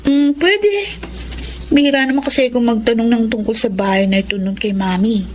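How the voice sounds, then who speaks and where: A woman's voice: on the high side, moderate pace, slight background noise, natural voice. An actress playing a sociable young woman hosting her city friends, in Filipino radio drama style with a Filipino accent. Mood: curious.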